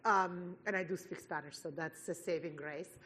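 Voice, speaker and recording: A woman from Italy with an Italian accent, moderating a live panel discussion in an animated way. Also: lowish voice, moderate pace.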